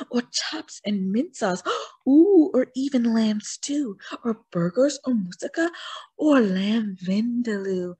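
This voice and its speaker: A sly old storybook wolf, put on by a woman, greedy and full of himself. The delivery is theatrical and gloating, with pitch that leaps about and a slightly husky edge at an unhurried pace. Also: dark tone, heavily acted.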